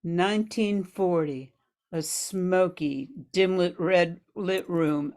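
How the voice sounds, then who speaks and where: Female radio-play narrator, slightly low pitch, very unhurried scripted delivery. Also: darkish tone. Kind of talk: scripted scene-setting narration.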